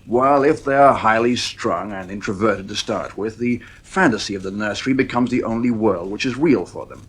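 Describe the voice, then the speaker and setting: Husky, wry male psychiatrist. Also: fairly low pitch. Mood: wry, professional. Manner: measured, wry, opinionated. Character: male psychiatrist, frank, wry family friend. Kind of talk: radio drama acting.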